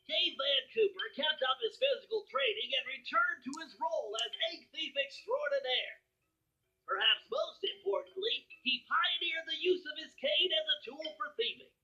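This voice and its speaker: Nerdy turtle sidekick narrating a cartoon game, super bright pinched voice, wry, swoops around, bit dull audio. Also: male voice, extremely high pitch, animated, moderate pace, soft background music, heavily acted. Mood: wry, upbeat storytelling. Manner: precise, wry storytelling. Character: nerdy, brainy male turtle sidekick recounting epilogues.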